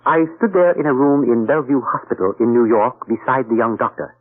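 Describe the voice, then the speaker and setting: An actor playing a calm, courteous detective narrating his own case in an old radio drama. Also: medium pitch, faint music behind, close to an everyday voice. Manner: polite, measured narration. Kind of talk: 1940s radio acting. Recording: thin, phone-like old radio recording.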